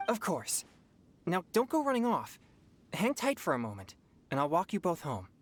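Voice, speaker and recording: Spirited young heroine, slightly low pitch, very animated, earnest, emphatic, video game cutscene. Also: female voice, moderate pace, a little hiss or hum. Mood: reassuring, firm.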